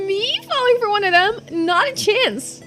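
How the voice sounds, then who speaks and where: Casting: woman playing animated teen girl for a video game, very high, swooping voice, casual and blurting, music behind. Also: takes it slowly, exaggerated voice. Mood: flustered denial. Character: excitable teenage girl diver with a secret crush. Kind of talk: video game character voice-over.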